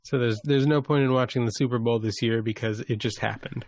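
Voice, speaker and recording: A man's voice: medium pitch, fairly even intonation, fairly quick, a little mellow, no room sound. A man chatting casually about sports as a co-host on a podcast.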